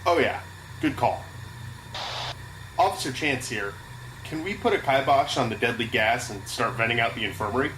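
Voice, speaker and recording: A woman's voice: extremely low pitch, normal speed, long pauses. A woman playing an earnest, stubborn security officer in an audio drama, firm and official in delivery. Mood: businesslike. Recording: clearly noisy ambience behind voice.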